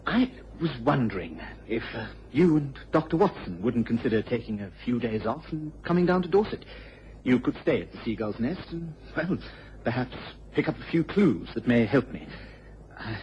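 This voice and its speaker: An actor playing an earnest, baffled English police investigator in a radio drama, speaking haltingly and apologetically. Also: male voice, medium pitch, sing-song, natural voice. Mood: earnest, hesitant. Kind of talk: British radio drama acting. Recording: dull old radio recording, clear noise.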